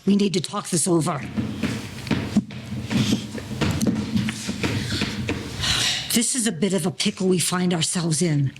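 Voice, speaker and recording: A man's voice: high voice, slight background noise. A man playing a cautious, practical gangster boss in a 1940s audio play, bossy, speaking in formal slang. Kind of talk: audio play character acting.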